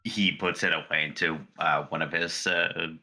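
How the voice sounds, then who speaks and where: A man playing a tabletop roleplaying game, speaking in character with hesitant pauses and many fillers. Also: deep voice, steady tone, sharp tone. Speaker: player.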